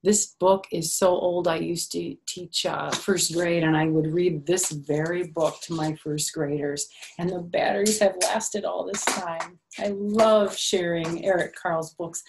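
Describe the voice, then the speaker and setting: A woman's voice: lowish voice, fairly even intonation, measured pace, darkish tone, a little hiss or hum, rough recording. An older woman reading a picture book aloud to young children, with simple phrasing and little questions and asides to the listeners.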